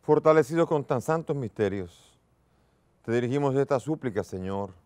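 A man in his sixties, a bishop, leading prayers and speaking to the faithful at Mass in a large church, in a solemn, measured way. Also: mid-range voice, darkish tone, dry recording. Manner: solemn, measured liturgical delivery. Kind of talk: liturgical prayer and homily.

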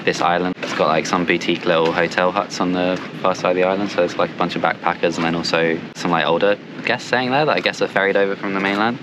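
A man's voice: quick pace, hardly any pauses, background noise. A young man talking easily to the camera on a sailboat deck outdoors. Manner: low, flat, easygoing talk with many likes. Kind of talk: casual vlog to camera.

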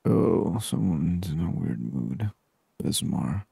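A man doing soft-spoken ASMR gaming commentary, murmuring and musing aloud to himself close to the microphone. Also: deep voice, lively intonation, slow pace, gravelly, slightly rough, no room sound. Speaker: gaming channel host. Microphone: close microphone, dry.

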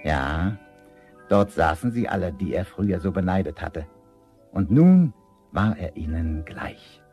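The narrator of an old fairy-tale audio drama. His voice sits fairly low and moves expressively through the phrases in a measured, dramatic storybook style. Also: very animated, mellow, soft background music, full character voice. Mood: storytelling.

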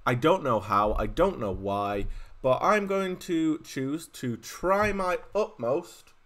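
A man's voice: on the high side, animated, takes it slowly, a bit bright. A young man with a Yorkshire accent, chatting casually and rambling on a live game stream from home, with self-deprecating asides. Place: home room.

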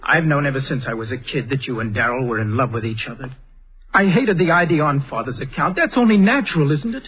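A man's voice: medium pitch, expressive, quick pace, slightly rough. An actor playing an earnest, impulsive young man in a vintage radio drama, clipped and theatrical. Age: young adult. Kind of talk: 1930s radio acting. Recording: tinny old radio broadcast.

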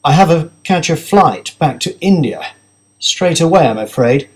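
A man's voice: fairly high pitch, lively intonation, a little slow, voice pushed up. A man voicing a harried businessman under pressure in an audiobook, blunt and emphatic yet affectionate. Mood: grim, apologetic.

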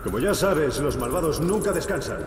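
A man's voice: a little high, expressive, normal speed, noisy background, close to an everyday voice. An actor playing a stern middle-aged colonel who gives clipped, authoritative orders to his squad. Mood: commanding. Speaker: squad commander. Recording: echoey game audio from afar with music.

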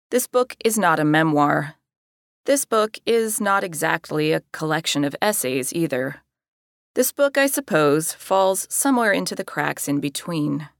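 A woman reads a scripted audiobook. Her voice sits a little low, and her delivery is conversational and wry. The recording is fairly dry and close. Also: no echo. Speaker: author reading her own audiobook.

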